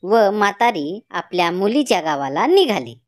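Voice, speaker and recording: A woman's voice: medium pitch, sing-song, moderate pace. A woman reading scripted narration for a children's folk tale as its storyteller.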